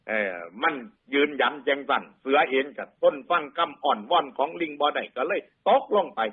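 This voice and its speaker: Husky Isan man telling moral tales. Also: fairly high pitch, narrow, tinny audio. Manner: colloquial, repeats phrases for emphasis. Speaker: Buddhist storyteller addressing lay listeners. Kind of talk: oral moral folk-tale narration.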